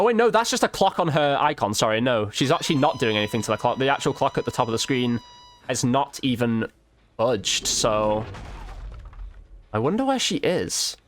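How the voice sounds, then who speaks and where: A man's voice: mid-range voice, a bit bright. A man giving casual gameplay commentary, informally thinking aloud as he plays. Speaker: gaming video creator.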